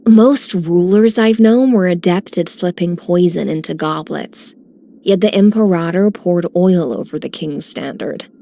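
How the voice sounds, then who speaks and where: An actress playing an elegant, worldly game character with a languid, poetic delivery. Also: female voice, lowish voice, measured pace, warm tone. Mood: admiring. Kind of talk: scripted game character lines.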